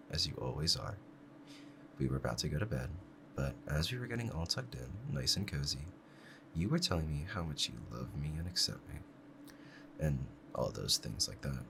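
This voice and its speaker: Man acting a deep-voiced, doting obsessive fox-spirit husband. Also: noisy background. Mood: tender, coaxing. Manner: soft, clingy, adoring, hesitant. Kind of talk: intimate ASMR roleplay addressed to the listener.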